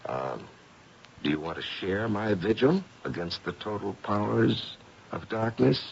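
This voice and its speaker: An actor playing a courteous, measured gentleman investigator of the supernatural in an old radio mystery. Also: on the low side, expressive, gravelly. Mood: grave. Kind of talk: old-time radio drama acting. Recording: dull, faintly noisy old radio recording.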